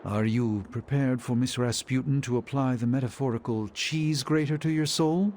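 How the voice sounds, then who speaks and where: An actor playing a dry, sardonic, protective mentor in a video game, with a deadpan, wordy delivery. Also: male voice, mid-range voice, moderate pace, a little hiss or hum, lightly acted. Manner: deadpan, wordy, drawling sarcasm.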